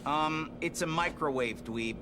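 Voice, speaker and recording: An actor playing a hotheaded, sarcastic teen mutant turtle with gruff street slang. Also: male voice, fairly high pitch, a little slow, background noise, close to an everyday voice.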